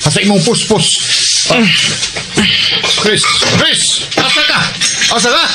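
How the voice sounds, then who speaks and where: An actor with a Cebuano accent playing a gruff street-gang boss softened by pity, emphatic and exclamatory in a radio drama. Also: male voice, very high voice, animated, a little slow. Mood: blustering. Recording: radio drama with music underneath.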